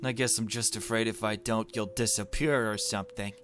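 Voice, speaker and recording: A man's voice: lowish voice, steady tone, over music, natural voice. Man reading as a hesitant, worried teenage girl. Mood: anxious, pleading. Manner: halting, unsure, questioning.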